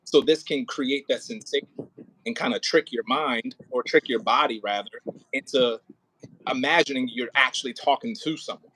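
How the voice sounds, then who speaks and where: A man's voice: mid-range voice, normal speed, bright, forceful tone, a little hiss or hum, poor audio. A man directing a rehearsal in a middle-range voice. He talks informally, giving notes on delivery and slipping into short line readings to show what he means. The style is conversational coaching. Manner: informal, demonstrates lines by acting them out. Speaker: audio play director coaching an actor.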